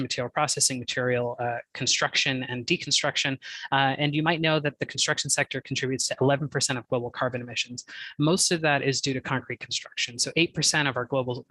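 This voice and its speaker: A young man delivers an academic talk through a computer mic. His voice is bright and forceful. The recording is dry with no room echo, and he speaks earnestly, with plenty of fillers and small restarts. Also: medium pitch. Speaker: guest speaker, doctoral researcher. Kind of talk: academic conference talk over live stream.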